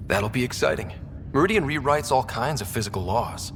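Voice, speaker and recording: A man's voice: expressive, a little fast, gravelly, fairly bright tone. An actor playing an easygoing young male space explorer in a video game, talking in a casual, wry way. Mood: warm, casual. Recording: noisy, echoing hall, ambient rumble.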